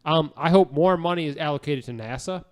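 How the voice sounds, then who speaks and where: Guy doing podcast chat, kinda high voice, super keen, rambles and repeats himself. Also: fairly quick. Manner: gushing, rambling, repeats phrases. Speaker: podcast co-host. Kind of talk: casual enthusiastic podcast chat.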